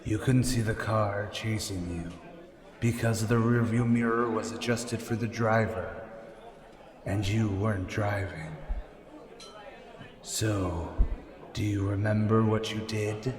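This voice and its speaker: A slow, ominous avenger of old radio serials acted by a man speaks out of a heavily echoing space. He is commanding and mysterious, pressing each point deliberately in a fairly low voice. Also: fairly even intonation, a crowd in the background. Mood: menacing, taunting. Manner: deliberate, ominous, coaxing and insistent. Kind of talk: radio drama acting in old serial manner. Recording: echoey hall with long reverb.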